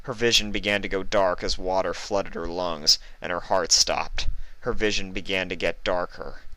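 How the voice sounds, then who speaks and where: A man's voice: sits low, steady tone, moderate pace, sharp tone, poor audio. A man reading a story aloud as its narrator. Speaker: fan fiction reader and narrator.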